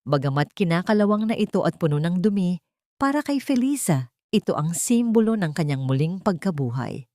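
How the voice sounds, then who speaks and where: A low-voiced woman with a Filipino accent narrates a scripted drama. The sound is dry and close, with no room around it. She works as a storyteller for an audio story. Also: very animated, normal speed, dark tone, no room sound.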